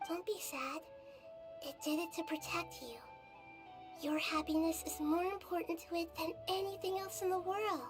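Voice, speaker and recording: A woman voicing a gentle, thoughtful young female game character who speaks softly and consolingly, with game music behind. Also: very high voice, fairly even intonation, moderate pace. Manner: soft, reflective and consoling.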